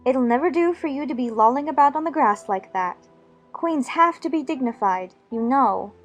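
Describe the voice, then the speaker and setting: A woman's voice: high voice, expressive, normal speed, faint music behind. A woman playing a prim, earnest, argumentative young girl in a dramatized storybook. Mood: self-scolding.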